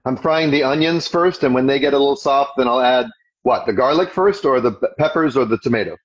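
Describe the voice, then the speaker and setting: A man's voice: mid-range voice, a little fast, slightly rough. A man in his forties hosting a casual live chat from home while cooking, rambling through his questions. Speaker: host. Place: home room with kitchen. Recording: dull lo-fi livestream audio.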